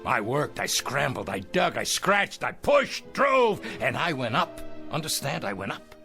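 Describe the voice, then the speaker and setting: Gravelly, blustering old tycoon. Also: male voice, medium pitch, big pitch swings, bright, forceful tone, heavily acted. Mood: agitated, restless. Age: older. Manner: theatrical, blustering, chuckling, halting then emphatic. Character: shrewd, restless old tycoon, ruthless and boastful. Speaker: actor in a television drama. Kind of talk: 1960s television drama acting. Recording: old TV soundtrack with background music.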